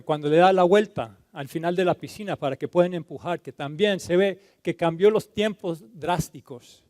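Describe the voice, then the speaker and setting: A man from Colombia giving a conference talk, halting and repeating words, slipping into terms now and then. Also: fairly high pitch, a little mellow, no room sound. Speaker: conference speaker. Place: conference hall stage.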